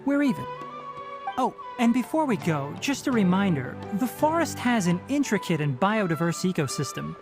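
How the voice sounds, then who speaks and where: A man voicing a calm, stern young male forest ranger in a game, speaking in a measured, matter-of-fact, slightly dry way. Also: high-pitched. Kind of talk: game dialogue voice acting. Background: soft game music.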